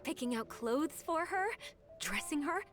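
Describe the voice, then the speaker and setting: A woman's voice: sits high, expressive, measured pace, fairly bright tone. An actress voicing a formal, devoted female secretary in a video game, explaining things politely and precisely. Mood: proud, eager. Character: devoted, formal female secretary, earnest, eager admirer of her lady.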